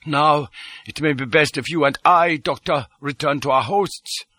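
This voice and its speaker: Authoritative male schemer for a period novel, read by a man: raised pitch, forceful edge, brisk formal courtesy. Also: dry recording, studio quality. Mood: businesslike. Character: commanding, grimly shrewd male conspirator with formal courtesy.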